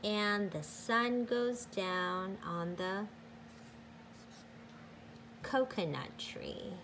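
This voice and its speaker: Very slow-paced woman reading a picture book aloud. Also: mid-range voice, background noise. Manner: slow, deliberate, sing-song with emphatic exclamations. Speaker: teacher reading aloud to children.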